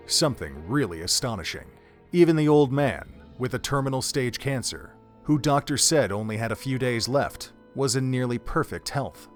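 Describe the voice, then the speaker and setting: A man narrating a dramatic story from a script, as the narrator of an audio drama. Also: medium pitch.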